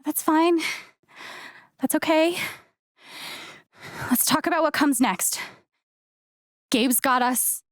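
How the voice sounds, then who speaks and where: A woman's voice: high voice, slow pace, pauses often, no room sound, studio quality. A woman voice acting an earnest, caring young heroine in a video game, with casual, hesitant, naturalistic delivery. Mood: urgent, reassuring. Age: young adult. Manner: casual, hesitant, conversational phrasing.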